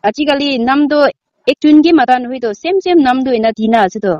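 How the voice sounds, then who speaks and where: Girl arguing on the phone, high fast voice, defensive n fed up, muffled sound. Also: dark tone, no room sound. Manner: protesting, defensive, exasperated. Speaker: prank call recipient. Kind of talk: casual, argumentative phone conversation.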